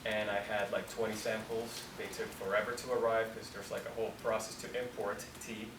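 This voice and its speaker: A man in his twenties from Colombia, a company founder talking casually to students in a relaxed, rambling way, full of "like". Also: lowish voice, a little fast. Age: 20s. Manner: relaxed, rambling, heavy use of "like". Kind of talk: casual talk to an audience. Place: university room. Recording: echoey room, distant mic, noisy.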